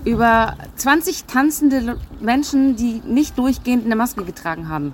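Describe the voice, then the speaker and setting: Female vox-pop interviewee, higher register, indignant, halting delivery, light background noise. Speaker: interviewee, spectator at an event.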